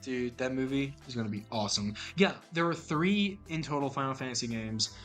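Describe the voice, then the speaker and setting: A man co-hosting a casual podcast, his voice mid-pitched and lively as he jokes and rambles through stories. Faint music plays underneath. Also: fairly quick. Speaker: podcast co-host.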